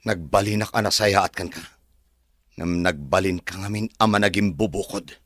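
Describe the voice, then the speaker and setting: A righteous, reproachful man in a drama. His voice is slightly low yet clear-edged and forceful, with a stern, measured delivery. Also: dry recording, studio quality. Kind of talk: drama dialogue.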